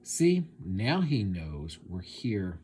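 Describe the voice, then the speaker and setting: A man's voice: low voice, big pitch swings, drawn-out words, darkish tone, slightly rough, full character voice. A man voicing a strict, fussy monster teacher from a children's storybook, scolding and instructing with emphasis. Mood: scolding, exasperated. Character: strict, fussy male monster teacher, long-necked and yellow.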